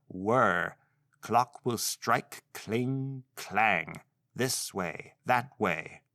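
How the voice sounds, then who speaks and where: Man doing an odd old godfather, grating monotone, slow chant, mid pitch, dry sound. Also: creaky, no room sound.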